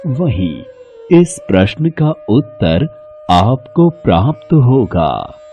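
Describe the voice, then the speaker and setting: An actor playing a calm, majestic and wise male god in a devotional story. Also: fairly low pitch, slow, muffled recording, full character voice. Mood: calm, solemn. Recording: faint music bed.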